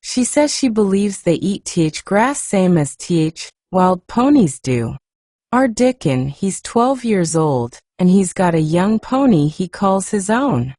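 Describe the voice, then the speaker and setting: A woman's voice: medium pitch, big pitch swings, slow, warm tone, dry recording, studio quality. A woman voicing a good-tempered, outspoken young Yorkshire housemaid in a storybook reading, chatty and homely.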